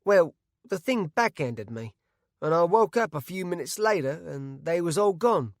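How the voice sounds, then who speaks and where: A man's voice: fairly high pitch, lively intonation, unhurried, vocal fry, no echo, clean studio sound, voice pushed up. A man doing a jittery rustic villager. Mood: earnest. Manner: stammering, rustic grammar.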